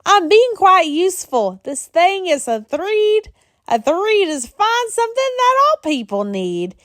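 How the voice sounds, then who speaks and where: Lady as a greedy salesman guy from a kids book, boastful, high voice swooping all over. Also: unhurried, no room sound, studio quality, exaggerated voice. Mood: eager, boastful. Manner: excitable, self-justifying, boastful. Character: greedy, dismissive male tradesman, boastful storyteller.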